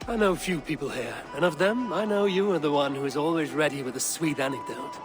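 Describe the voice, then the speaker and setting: An actor playing a confident, curious, dryly teasing Viking warrior in a video game. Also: male voice, fairly high pitch, a little fast, close to an everyday voice. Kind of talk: game voice acting. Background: music.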